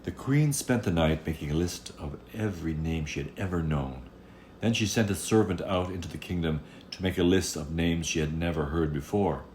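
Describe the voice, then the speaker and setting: A man telling a fairy tale aloud as an expressive storyteller. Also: low voice, normal speed, a little hiss or hum.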